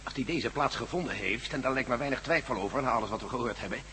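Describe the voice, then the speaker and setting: A man's voice: mid-range voice, fast, pushed and bright, slight background noise, natural voice. An actor playing an analytical, cautious male explorer in a Dutch radio drama. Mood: reasoning.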